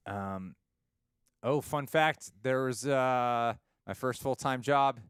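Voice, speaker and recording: A man hosting a casual chat, relaxed, reading viewer messages and laughing often. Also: mid-range voice, slow, clean tone, dry recording, clean studio sound. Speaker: host.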